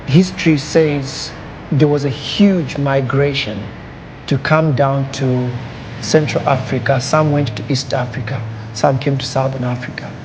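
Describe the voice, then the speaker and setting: Gravelly man, measured talk. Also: mid-range voice, background noise. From Zambia. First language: Lugala. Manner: thoughtful, measured, with pauses and fillers. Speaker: artist. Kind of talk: documentary interview. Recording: interview with loud music behind.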